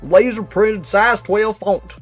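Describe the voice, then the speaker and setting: A man's voice: sits high, slow, faint music behind, pitched above the performer's own voice. A man voicing a folksy cowboy employee with a Southern US accent and a theatrical drawl, reading out an investigation report. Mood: folksy, watchful. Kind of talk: character reading of a written report.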